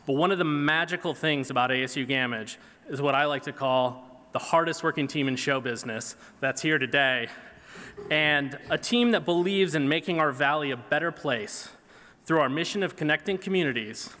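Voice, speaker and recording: A man in his forties giving a prepared award acceptance speech from a podium in a large hall, earnest and measured. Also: fairly high pitch, sharp tone. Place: large banquet hall stage. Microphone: podium microphone. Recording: reverberant hall PA sound.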